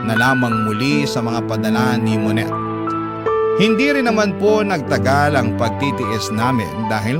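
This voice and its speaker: A man's voice: mid-range voice, natural voice. Narrator male actor: sincere dad recounting hard times, radio drama storytelling, strong music underneath. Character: earnest father recounting his hardships. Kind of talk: scripted first-person narration, radio drama. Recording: loud music bed under the voice. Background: loud instrumental music.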